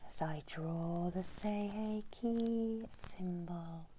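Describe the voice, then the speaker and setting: A middle-aged woman giving an ASMR guided meditation as a healer, in a soft, breathy, often whispered voice with soothing pauses. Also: lowish voice. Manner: soft, slow, soothing delivery with long pauses. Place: small indoor room.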